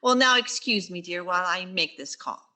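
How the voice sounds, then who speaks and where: A woman playing a wry schoolteacher with quick deadpan asides in a vintage radio sitcom style. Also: on the low side. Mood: wry, friendly.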